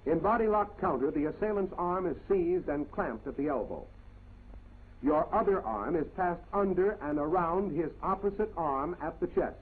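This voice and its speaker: A man narrates a 1940s military training film in clipped, commanding steps. His voice sits fairly high and has a husky grain. The sound is thin and narrow, like an old film soundtrack. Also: lively intonation, normal speed. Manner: clipped, commanding step-by-step instruction.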